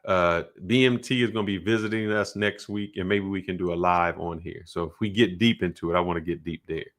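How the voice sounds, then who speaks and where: A man's voice: low-pitched, fairly even intonation, brisk, fairly bright tone. A man co-hosting a podcast, explaining his views at length in loose, casual speech. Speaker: podcast co-host. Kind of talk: casual chat.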